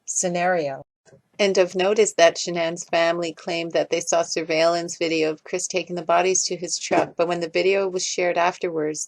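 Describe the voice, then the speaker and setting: A woman's voice: on the low side, no echo. A woman giving critical commentary as a video creator's voice-over. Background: background music.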